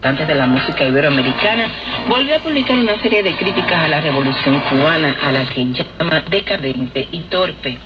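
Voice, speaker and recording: A man's voice: fairly high pitch, expressive, quick pace. A man speaking in a radio broadcast, heard through muffled reception with babble behind. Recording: dull radio reception, loud crowd-like babble.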